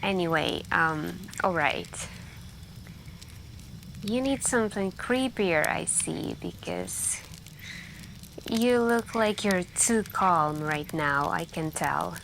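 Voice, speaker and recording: Slow, soft-spoken woman telling spooky stories. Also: fairly low pitch, gravelly. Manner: hushed and intimate, drifting into whispers, with pauses. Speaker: ASMR roleplay performer playing a friend telling campfire stories. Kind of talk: soft-spoken ASMR scary storytelling. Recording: steady background ambience noise. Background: crackling campfire and night ambience.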